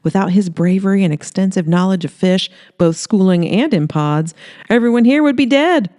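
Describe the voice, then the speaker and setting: A woman's voice: mid-range voice, normal speed, mellow, lightly acted. Woman putting on a feisty, flirty small-town gal. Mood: confident.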